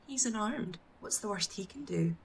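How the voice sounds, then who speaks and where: A woman's voice: mid-range voice, expressive, moderate pace, vocal fry, husky. A woman playing a tough, no-nonsense task force leader in an amateur audio drama, businesslike and commanding. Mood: sceptical.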